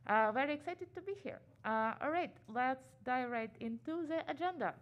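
Ukrainian woman presenting at a conference, kinda high voice, talks fast, lots of uh's, muffled by a mask. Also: lively intonation. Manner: fast-talking, frequent "uh" fillers. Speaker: conference speaker. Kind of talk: conference talk. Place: conference room.

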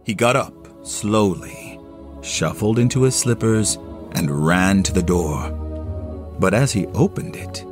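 A man narrating a classic story as a solo audio play, with theatrical, deliberate phrasing. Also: fairly low pitch. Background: music under the voice.

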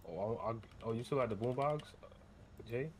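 A man's voice: medium pitch, a little fast, background noise. A young man doing casual, slangy street roleplay in an online game, often repeating himself. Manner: slangy, repetitive.

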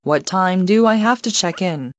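A woman reading English travel phrases one by one, slowly and carefully, for learners to repeat. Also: on the low side, animated, no room sound, lo-fi sound. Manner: careful, evenly paced, each phrase read alone. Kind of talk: slow clear phrase repetition for learners.

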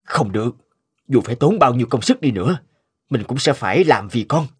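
A man voicing a devoted, determined inventor father in an animated cartoon, speaking earnestly and expressively. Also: medium pitch, a little fast, breathy edge. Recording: music behind the voice.

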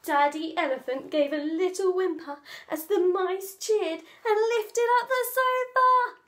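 A woman in her thirties reading a children's story aloud in an expressive, dramatic manner. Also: extremely high pitch, measured pace. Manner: expressive, dramatic, with stage-whispered asides. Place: small room at home, indoors.

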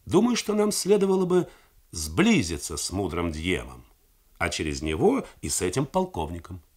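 Earnest young officer pleading his case, lively voice, man reading it. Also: deep voice, normal speed, slightly rough, pitched below the performer's own voice, exaggerated voice. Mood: persuasive. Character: young male Vietnamese army major, earnest, eager.

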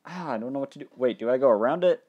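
Young male game host, dry-mouthed and tiring voice, lively swings from muttering to loud outbursts. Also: mid-range voice, racing, slightly rough, muffled recording. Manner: animated, thinking aloud, jumps into loud excited outbursts. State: dry mouth, voice getting tired. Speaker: gaming channel host. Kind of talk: casual let's play commentary.

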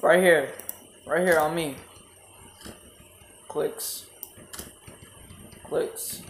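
Slow-talking teen gamer, echoey room. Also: male voice, a little high, steady tone, a little hiss or hum. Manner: bossy, trash-talking, swearing. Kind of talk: casual voice chat while gaming.

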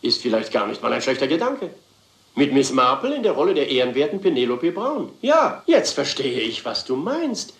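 A man's voice: medium pitch, wildly swooping pitch, moderate pace, fairly bright tone, roomy sound. A man playing a self-important, flamboyant theatre director with a grandiose, declamatory manner in a German crime comedy. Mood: pompous, sceptical.

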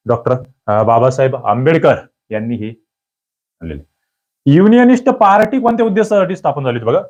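A man's voice: medium pitch, darkish tone, highs cut off. A man teaching an exam-prep history lesson, reading out quiz questions and answers and coaxing his students, repeating the key answers.

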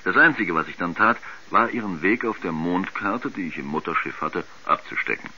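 A man playing an astronaut in a 1970s radio drama, recounting a mission in a deliberate, matter-of-fact way. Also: low voice, lively intonation, pushed and bright, heavily acted. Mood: matter-of-fact, puzzled. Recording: dull, faintly noisy 1970s radio recording.

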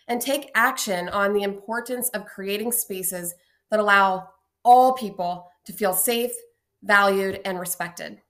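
Woman, scripted welcome address, measured and formal, webcam recording. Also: medium pitch, a bit bright. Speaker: executive director, opening speaker. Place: indoor room.

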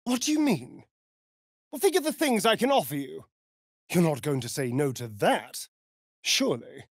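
Sly theatrical vampire with sweeping pitch. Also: male voice, very animated, moderate pace, no echo, studio quality. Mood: sardonic, flirtatious. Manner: theatrical, drawling, sardonic. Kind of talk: video game character acting.

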